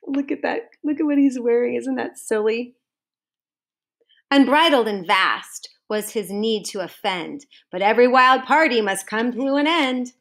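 A woman reading a children's storybook aloud to students like a teacher, in a deliberate, sing-song manner with drawn-out phrase endings. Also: on the high side, a bit bright. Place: home kitchen, indoors.

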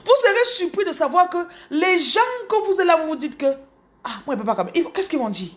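A woman in her thirties from Cameroon with a Cameroonian French accent, telling her story to her followers in an animated, casual way, speaking close to a phone in a small room. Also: very high voice, quick pace. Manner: animated, repeats words, addresses viewers directly. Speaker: business coach. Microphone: phone camera held close.

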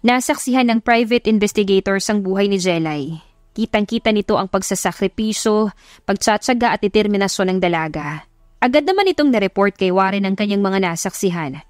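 A woman's voice: medium pitch, expressive, brisk, dry recording, studio quality, faint music behind. A woman giving scripted narration of an audiobook love story.

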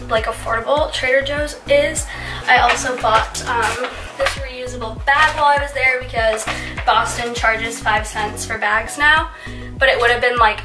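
A woman's voice: a little high, sharp tone, far from the mic. A young woman in her twenties, sounding stuffy from a recent illness, chatting casually through a grocery haul with lots of "like" fillers. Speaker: lifestyle vlogger. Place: home room, indoors. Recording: roomy, off-mic, with music. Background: background music.

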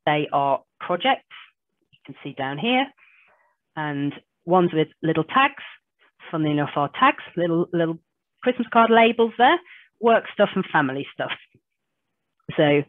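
Hoarse woman, tinny call audio. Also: deep voice, dry recording. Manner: chatty, self-deprecating explainer walking through screen demos. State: hoarse with a cold. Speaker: business trainer. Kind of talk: online training webinar. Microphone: video call webcam.

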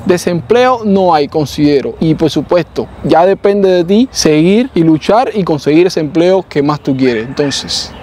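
A man with a Cuban accent, a software developer hosting a casual vlog, who chats loosely and keeps asking if you follow him. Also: on the high side, lively intonation, measured pace, hardly any pauses, a little hiss or hum. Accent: Cuban Spanish. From Cuba. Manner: chatty, often adds "¿me entiendes?". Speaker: software developer and channel host.

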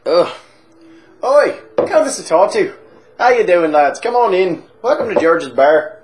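A boozy, foul-mouthed Irish man holds court at the bar, as a performer puts him on. The brogue is broad and the voice swings wildly from shrill squeaks to low mutters. He swaggers with crude good cheer. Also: very animated, unhurried, over music. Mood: rowdy. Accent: put-on Irish. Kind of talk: comic character monologue.

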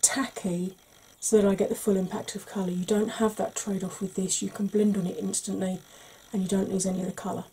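A woman in her forties, a makeup vlogger, talking through an ASMR makeup tutorial slowly and gently in a soft, breathy voice that often drops to a whisper, recorded at home. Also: medium pitch, little pitch movement, a little hiss or hum, low-quality recording. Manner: slow, gentle, patient explaining. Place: small home room.

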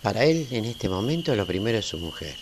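A man acts out a folksy, middle-aged Argentine family man in a radio play. His voice sits fairly low, and he talks warm-heartedly and at length in a Rioplatense accent, checking that his listener agrees. Crickets and faint hiss sit behind him. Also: very animated, a little fast. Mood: reassuring, homely. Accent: Rioplatense Argentine Spanish. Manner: talkative, folksy, seeking agreement. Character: chatty, tender-hearted small-town family man, patient and homely. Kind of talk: amateur radio play acting. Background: crickets, night ambience.

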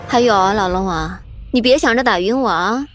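A woman's voice: medium pitch, fairly quick, a bit bright, faint music behind, lightly acted. A woman playing a bold, playful young princess in an audio drama, teasing and breezy. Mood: playful, defiant.

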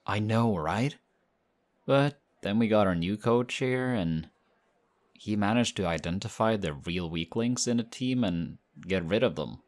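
A man voicing a friendly, modest young male team captain in an audio roleplay, talking in a relaxed, chatty way. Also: lowish voice, measured pace. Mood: excited, friendly.

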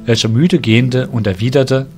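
A man's voice: mid-range voice, steady tone, moderate pace. A man narrating a scripted fantasy story as the narrator of an audio drama. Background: music.

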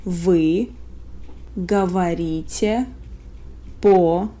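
Russian-accented woman tutor, mellow voice, very slow deliberate drilling. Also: fairly low pitch. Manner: word-by-word, carefully spaced pronunciation. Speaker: language teacher. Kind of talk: slow phrase drills for learners.

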